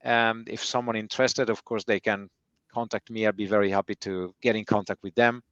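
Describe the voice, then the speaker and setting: Italian-accented man, smooth dry call audio. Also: fairly low pitch, fairly even intonation, fairly quick, fairly bright tone, no echo, lo-fi sound. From Italy. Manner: hesitant, many "uh" fillers, careful explaining. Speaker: guest timber engineer. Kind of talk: interview answers.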